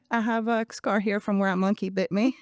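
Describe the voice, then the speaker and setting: A woman in her forties from Venezuela, a Spanish speaker with a Venezuelan accent, telling her life story as a podcast guest in an animated way. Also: mid-range voice, normal speed, no echo, clean studio sound. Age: 40s. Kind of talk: conversational interview.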